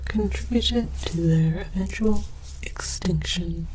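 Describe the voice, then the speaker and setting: A woman voicing an earnest, bemused alien archaeologist in whispered ASMR, presenting with formal, deadpan academic deliberation. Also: fairly low pitch, takes it slowly, noisy background. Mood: solemn, bemused. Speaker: host of an educational comedy show.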